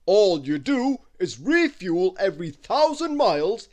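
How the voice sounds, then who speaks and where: A man voicing a huge, jolly male giant wizard who booms and laughs loudly. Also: very animated, a little slow. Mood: jovial. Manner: booming, shouting, laughing.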